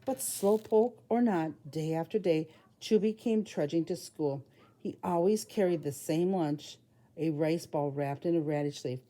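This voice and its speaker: A woman preschool teacher reading aloud at storytime for young children, speaking simply and deliberately in short phrases. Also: sits low, warm tone.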